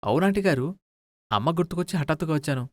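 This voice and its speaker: A man's voice: on the high side, animated, fast talker, dry recording, studio quality, natural voice. A man playing a timid, evasive young adult son who coaxes and over-explains in a dramatised moral story. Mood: sheepish. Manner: hesitant, coaxing, over-explaining.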